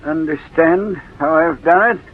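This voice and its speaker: An actor playing a sly, ailing old uncle in 1940s radio drama, deliberate and needling. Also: male voice, on the high side, slightly rough. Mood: dry, probing. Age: older. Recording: muffled old radio recording, narrow band.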